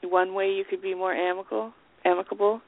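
A woman's voice: mid-range voice, flat delivery, quick pace, vocal fry. A middle-aged woman chatting casually as a radio show co-host, over a narrow phone-like line. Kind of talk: casual chat.

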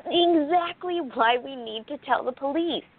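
A woman acts a young lady sleuth in a radio drama, indignant and skeptical. Her voice is fairly high and exclamatory, heard through thin, phone-like audio. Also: normal speed. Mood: worried, questioning. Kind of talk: radio play acting.

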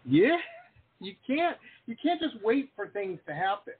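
A man's voice: very high-pitched, big pitch swings, unhurried, slightly rough. Playful man hosting over a tinny line. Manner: teasing, jokey, chatty. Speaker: podcast host. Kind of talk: casual interview chat. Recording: tinny phone-like call audio.

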